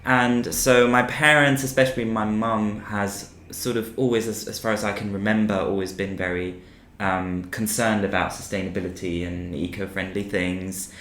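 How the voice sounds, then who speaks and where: A man's voice: on the low side, fairly even intonation, moderate pace, fairly bright tone, a little hiss or hum. A man from Austria co-hosting a casual podcast, talking with many ums and restarts in a small office. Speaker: podcast co-host. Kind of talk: casual chat. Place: small echoey office by a street. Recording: echoey room.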